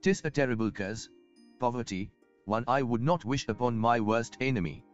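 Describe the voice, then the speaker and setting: Game merchant, male, acted: polished, self-assured, archaic and eloquent speech, mid-pitched, faint music underneath. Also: moderate pace, lightly acted. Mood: solemn, reflective. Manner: formal, eloquent, archaic phrasing. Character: courtly, self-assured wealthy merchant, risen from poverty. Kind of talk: scripted video game dialogue. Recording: muffled game audio with background music.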